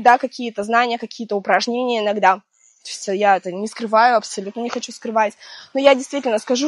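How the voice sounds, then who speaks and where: A woman in her thirties with a slightly high voice, a little hoarse from being ill. She talks through a foam-roller routine in a chatty, gentle manner. The sound is close and dry, recorded on a clip-on mic outdoors. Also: no echo. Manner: chatty, gentle, laughs between cues. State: hoarse from illness. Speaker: fitness instructor. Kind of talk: guided exercise instruction. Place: outdoors on a seaside promenade.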